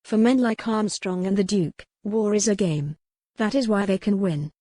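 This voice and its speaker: A woman's voice: medium pitch, normal speed, mellow, no echo, clean studio sound, natural voice. A woman voicing a remorseful yet determined young noblewoman in a historical romance audiobook. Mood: thoughtful. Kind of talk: dramatic audiobook character reading.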